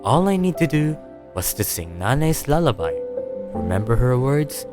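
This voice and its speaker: A man reading a first-person audiobook story in a measured, expressive storytelling manner. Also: mid-range voice, music in the background. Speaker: audiobook narrator.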